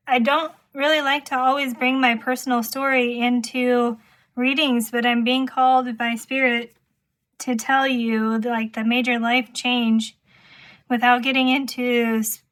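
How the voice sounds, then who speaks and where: Woman tarot reader, fairly high voice, slow and even, a bit hoarse, gentle and reflective. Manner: calm, soft-spoken, reflective. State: slightly hoarse, losing her voice. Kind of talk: intuitive pick-a-card tarot reading.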